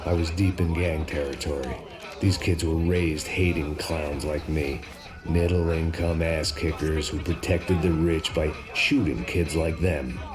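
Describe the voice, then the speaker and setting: A bitter, hard-boiled American ex-bodyguard with a very low voice. He delivers noir game narration in a deadpan, sardonic way, sounding middle-aged and sick of himself. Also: measured pace, over music. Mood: bitter, resigned.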